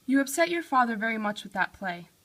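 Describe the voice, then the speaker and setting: Anxious queen mother for a puppet play, female performer, distressed, fairly high voice. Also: very animated, fast, a bit bright. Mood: reproachful. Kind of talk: amateur puppet-show dramatic reading.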